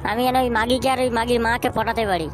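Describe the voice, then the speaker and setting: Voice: mid-range voice, fairly even intonation, fast talker, over loud music, close to an everyday voice. A performer voicing a cheeky, foul-mouthed young male slacker in a comic cartoon, brash and whiny.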